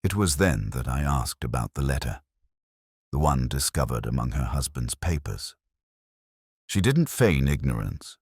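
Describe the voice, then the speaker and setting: A man narrating a literary mystery in the first person, in a measured, melancholy and solemn way. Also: a bass voice, very animated, husky, no echo, clean studio sound.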